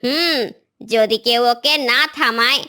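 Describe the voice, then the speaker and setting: A woman's voice: a little high, a little slow, pushed and bright, clear, resonant tone. A woman voicing an anxious, loyal female forest bird in a cartoon, earnest and urgent. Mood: worried. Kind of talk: cartoon character acting.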